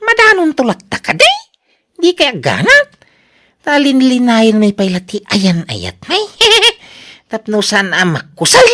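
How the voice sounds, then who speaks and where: A woman plays an easygoing young bachelor in love in a radio drama. The voice is fairly high and extremely animated in pitch, with an Ilocano accent and an eager delivery. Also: takes it slowly, full character voice. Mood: eager, evasive.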